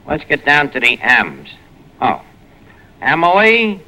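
Bumbling New York tavern wiseguy, sharp voice. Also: male voice, a little high, huge pitch swings, slow pace, exaggerated voice. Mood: hopeful, fumbling. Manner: hesitant, bumbling, slangy. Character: gruff, dim malapropping wisecracking tavern manager, male. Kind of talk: 1940s radio comedy acting. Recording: noisy vintage radio broadcast.

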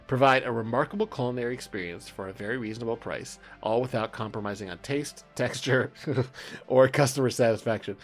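A man's voice: mid-range voice, fairly quick. A man hosting a podcast in casual, informal conversation, sometimes reading text aloud. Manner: informal, swearing, often laughing. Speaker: podcast host. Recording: background music under speech. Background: music.

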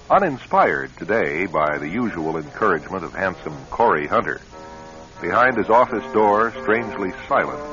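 An actor narrating a suspense radio drama in a measured, deliberate and dramatic way. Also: male voice, low-pitched, a bit bright, exaggerated voice. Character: measured male storyteller of a suspense play. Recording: muffled old radio recording, music underneath.

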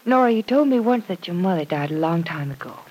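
A woman's voice: fairly low pitch, lively intonation, quick pace, rough recording. Kindly, reassuring lady of the house. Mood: sympathetic. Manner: gentle, reassuring. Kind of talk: classic radio drama acting.

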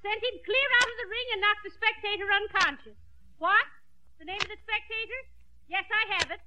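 An anxious, loyal wife with a very high, fluttery voice. It is polite vintage radio comedy acting, on a dull recording with clear noise. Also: female voice, brisk. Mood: excited, breathless. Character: loyal, anxious wife tagging along to the fight.

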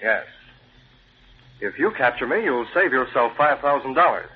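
An actor playing a curt, commanding newspaper chief turned menacing vigilante in an old-time radio drama. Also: male voice, medium pitch, very animated, moderate pace, slightly rough. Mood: cool menace. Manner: curt, commanding, coolly threatening. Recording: tinny, noisy old radio recording.

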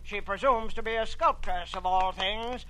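Shrill, cranky old rich man. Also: moderate pace, bright, forceful tone, low-quality recording. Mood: scornful, irritable. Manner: brusque, imperious, formal. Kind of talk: 1940s radio drama acting.

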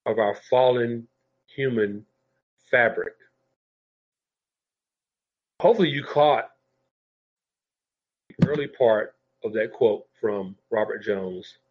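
A man preaching a sermon and reading scripture aloud, sounding congested and a little nasal from a sinus infection, speaking in a measured way and repeating key phrases. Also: mid-range voice, lo-fi sound.

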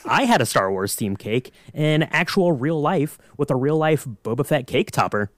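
A man's voice: a little high, very animated, normal speed, no echo, studio quality. A man on a podcast panel, chatting casually in an easygoing, joking way. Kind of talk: casual chat.

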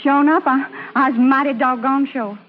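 Woman playing a high-pitched, nervous young servant boy. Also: steady tone, normal speed, close to an everyday voice. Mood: uneasy. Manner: stammering, deferential, folksy dialect. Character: nervous young boy, a disguised runaway slave, deferential. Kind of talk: 1950s radio drama acting. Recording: muffled old radio broadcast.

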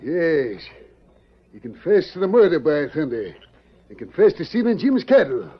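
An actor playing a crusty, excitable sheriff, husky and folksy, in old-time radio western style. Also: male voice, on the high side, moderate pace. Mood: triumphant. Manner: folksy, exclamatory. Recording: muffled vintage radio drama recording.

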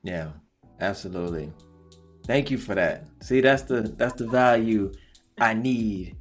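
A man's voice: on the low side, unhurried, faint music behind. A man hosting a casual podcast interview, mostly giving short encouraging replies in laid-back slang. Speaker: podcast host.

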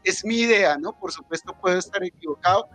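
A Colombian man giving his opinions at a book presentation, explaining emphatically with hesitant pauses. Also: sits high, sharp tone, soft background music, rough recording. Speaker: writer and psychologist, guest speaker.

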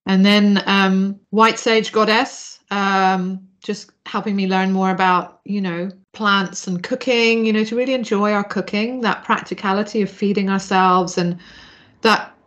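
A woman's voice: mid-range voice, steady tone, measured pace, a bit bright. A woman from Zambia speaking as a podcast guest, explaining her spiritual practice in a reflective, discursive, earnest way. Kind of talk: reflective interview talk about spiritual practice.

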